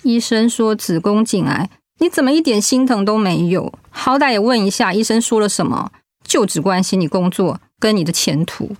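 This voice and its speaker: An actress playing a steady friend and wife who survived cancer, speaking earnestly in an audio drama. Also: female voice, medium pitch, normal speed, no room sound, clean studio sound, natural voice. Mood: angry, wounded.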